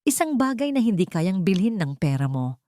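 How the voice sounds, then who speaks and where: A woman narrating an audio drama in a steady, dramatic storytelling manner. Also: mid-range voice, normal speed, no room sound, clean studio sound.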